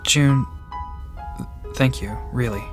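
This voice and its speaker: A man's voice: medium pitch, slow pace, lightly acted. Polite young vet played by a man, music behind. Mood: sombre, earnest. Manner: polite, hesitant, stammering. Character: gentle, nervous young male vet. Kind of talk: audio drama acting.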